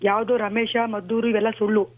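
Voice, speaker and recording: A woman speaks very quickly at an average pitch. She argues over the telephone, curt and impatient, scolding the person on the other end. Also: little pitch movement, slight background noise. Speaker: prank call recipient. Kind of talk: argumentative phone conversation. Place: on a telephone call.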